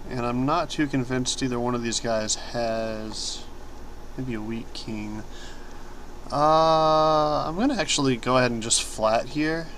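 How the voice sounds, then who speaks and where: A young man talks casually through his online poker hands at a desk mic, rambling and poking fun at himself. His voice sits at an ordinary middle pitch, and he clears his throat now and then. A faint hiss of background noise stays under the recording. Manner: relaxed, rambling, self-deprecating, clears throat often. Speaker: poker streamer. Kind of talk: casual commentary over online poker play.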